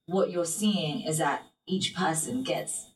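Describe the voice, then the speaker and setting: A woman with a lowish voice talks through her thoughts in a podcast interview, often restarting and filling pauses. She sounds set back from the microphone in a roomy, echoing space. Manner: thoughtful, many fillers and restarts. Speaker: guest singer. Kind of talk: reflective interview talk. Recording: roomy and distant from the mic.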